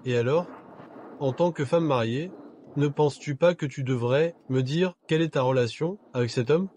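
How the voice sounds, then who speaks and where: An actor playing a jealous, possessive husband with a cold, sardonic manner, in dubbed melodrama style. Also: male voice, fairly high pitch, normal speed, mellow, slight background noise, natural voice. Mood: suspicious, demanding.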